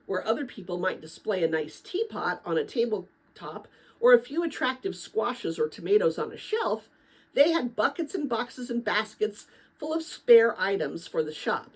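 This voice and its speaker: Female storyteller for middle-grade read-aloud. Animated intonation, husky edge, dramatic but conversational. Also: mid-range voice. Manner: expressive, chatty when commenting to listeners. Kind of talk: dramatic children's book read-aloud with casual asides.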